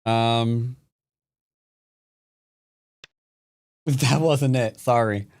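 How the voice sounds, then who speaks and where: A man's voice: mid-range voice, drawn-out words, a little mellow. A man from the United States commenting live on his own Go game, playful and teasing toward his opponent. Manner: playful trash talk. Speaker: streamer and Go teacher.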